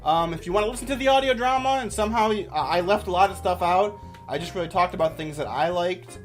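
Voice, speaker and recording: A man's voice: sits high, fast, soft background music. A man giving casual, off-the-cuff commentary as a YouTuber, with an enthusiastic, rambling manner.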